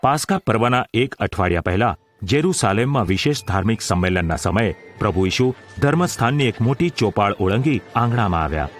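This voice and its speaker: A man's voice: on the low side, lively intonation, a little fast, faint music behind. A man reading scripted narration for a Bible audio drama.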